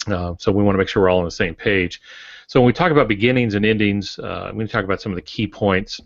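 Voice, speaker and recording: A man who teaches writing, talking on a podcast in a calm, explanatory way with many "uh"s. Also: on the low side, quick pace, dry recording, lo-fi sound. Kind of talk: casual podcast chat.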